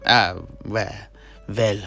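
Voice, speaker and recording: A man playing a worldly, good-humoured mercenary knight, telling his tale haltingly in a fantasy audio play. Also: fairly low pitch, steady tone, bright, forceful tone, faint music behind, full character voice. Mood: storytelling.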